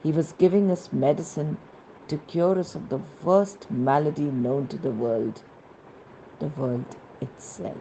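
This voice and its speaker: A woman reading devotional narration in a reverent, measured manner with emphatic phrasing. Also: deep voice, dark tone, slight background noise, poor audio.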